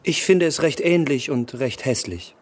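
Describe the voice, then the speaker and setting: An actor playing a sensitive, enamoured young gentleman who speaks reflectively in a literary radio drama. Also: medium pitch, animated, moderate pace, lightly acted. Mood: earnest, shy.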